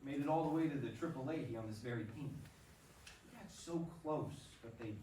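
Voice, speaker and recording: A man's voice: medium pitch, brisk, mellow, close to an everyday voice. A man acting a modest, hopeful young ballplayer in a staged play reading. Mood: hopeful, sincere. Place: theatre staged reading, recorded from off. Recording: echoey room, distant mic, background noise.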